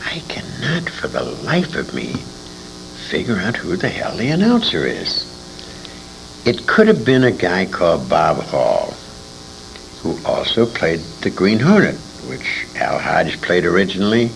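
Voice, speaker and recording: A man's voice: deep voice, huge pitch swings, a little slow, creaky. An older man, a former radio actor, reminiscing as an interview guest in a rambling, self-correcting way on a noisy amateur recording.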